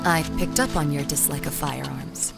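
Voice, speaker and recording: A woman acting as a sharp-witted archaeologist in an audio drama, with a dry, blunt, incisive way of talking. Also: low voice, moderate pace, creaky, over loud music.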